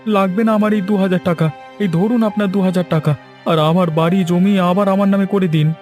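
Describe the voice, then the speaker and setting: Guy doing naive broke villager in a cartoon, high voice, earnest n plaintive, music behind. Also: steady tone, fairly quick, darkish tone, lightly acted. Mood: shocked, pleading. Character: naive, debt-ridden male villager, earnest and easily fooled. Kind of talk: cartoon fairy-tale dialogue. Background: background music.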